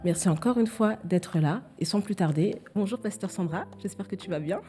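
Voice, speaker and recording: A woman presents and interviews as a podcast host. Her voice is warm and dark-toned, sitting at a middle pitch, and her manner is welcoming and keen. Faint music plays behind her. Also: brisk. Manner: welcoming, enthusiastic.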